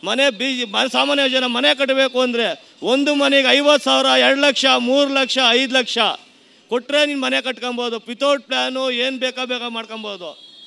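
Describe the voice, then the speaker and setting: A man's voice: very high voice, steady tone, normal speed, creaky, sharp tone. A man with a Bengaluru Kannada accent, a local resident airing grievances emphatically and colloquially in a street interview. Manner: aggrieved, emphatic, colloquial with English words. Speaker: local resident giving opinion. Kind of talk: street vox pop complaint.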